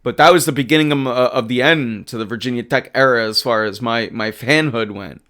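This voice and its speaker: A man hosting a live sports talk stream, casually answering chat and arguing football. His voice is hoarse, and he gets emphatic when he runs through stats. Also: mid-range voice, a little fast. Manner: animated and emphatic, rattling off stats. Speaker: livestream sports show host.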